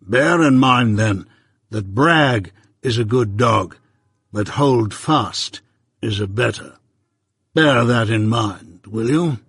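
Guy doing a stern bossy lawyer, slow measured words, a bit husky, higher than his usual, dead dry sound. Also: animated, a bit bright, no echo, exaggerated voice. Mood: commanding. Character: stern, imperious middle-aged male London lawyer.

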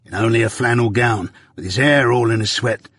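A man doing a rough old ex-convict. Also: mid-range voice, brisk, a bit bright, no room sound, studio quality. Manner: rough rustic dialect, confiding storytelling. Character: rough older male ex-convict telling his past.

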